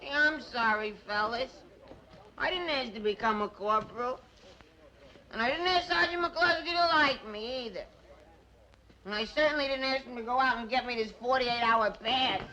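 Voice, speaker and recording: A man playing a cowardly, childlike army paratrooper in a slapstick film comedy, with a squeaky, whiny voice and babyish, stammering delivery. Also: normal speed, bright, forceful tone, full character voice. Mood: hapless bossiness. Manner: babyish pleading and goofy stammering. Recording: noisy old film soundtrack.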